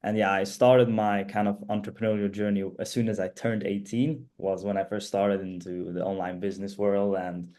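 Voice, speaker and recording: Relaxed, soft-spoken young man. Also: on the low side, normal speed, few pauses. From Sweden. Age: 20s. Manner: relaxed, rambling with many fillers and restarts. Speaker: guest, student and page owner. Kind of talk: casual interview talk.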